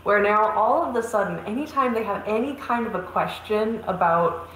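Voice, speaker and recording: A woman's voice: mid-range voice, moderate pace, a bit bright. A woman speaking on a casual panel discussion, chatty, with frequent "you know" fillers. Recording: echoey room, faint background noise.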